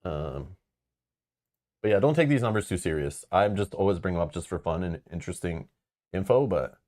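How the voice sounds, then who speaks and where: A man with a notably deep voice chats loosely to his viewers, wandering between thoughts and padding with fillers. His tone stays casual and frank. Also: animated, moderate pace, a little mellow. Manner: rambling, filler-heavy, frank. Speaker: board game channel host. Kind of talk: casual live-stream chat.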